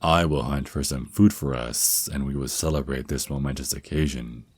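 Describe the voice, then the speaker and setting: A man's voice: rumbling low, normal speed, slightly rough, no echo, clean studio sound. A man playing a tender, reassuring vampire naga mentor and boyfriend in an ASMR roleplay, speaking soothingly and affectionately.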